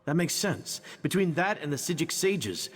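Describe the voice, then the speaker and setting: An actor playing a cocky, flippant knight champion in a fantasy video game, with a wry, glib, theatrical delivery. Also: male voice, fairly high pitch, very animated, moderate pace, breathy edge. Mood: explaining, exasperated. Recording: long reverb over background music.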